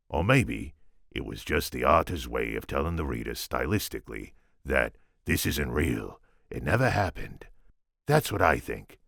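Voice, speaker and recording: Here is a man acting out a gruff older New York writer, talking straight to the reader in an audiobook. His voice is very deep and full of gravel, with a forceful edge. He is wry and conversational. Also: no room sound, studio quality, heavily acted. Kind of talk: audiobook narration in character.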